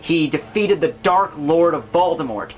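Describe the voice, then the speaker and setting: A man's voice: fairly high pitch, animated, measured pace, slight background noise. A man giving casual, rambling commentary on a classic book as host, informal and slangy, with profanity and joking asides.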